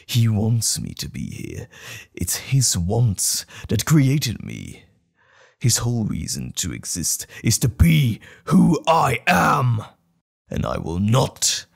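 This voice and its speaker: A sadistic, taunting villain in an audio drama. The voice is gravelly and drags out words slowly, with huge swings in pitch and heavy emphasis. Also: male voice, wildly swooping pitch, heavily acted. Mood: furious, contemptuous.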